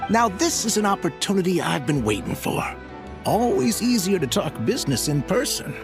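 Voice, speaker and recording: A man voicing an eager, scheming merchant in a video game, with a creaky voice. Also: high-pitched, big pitch swings, normal speed, over music.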